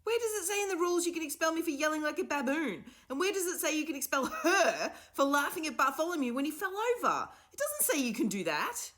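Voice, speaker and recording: Woman doing a cheeky, high-voiced storybook boy, loud and animated. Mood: defiant. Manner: fast, high-pitched protesting. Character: bold, rebellious schoolboy arguing back.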